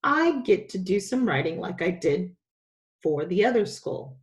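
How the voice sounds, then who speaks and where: A woman's voice: fairly low pitch, big pitch swings, unhurried. A woman reader voicing a dutiful, anxious schoolboy in a children's story, speaking earnestly. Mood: reassuring.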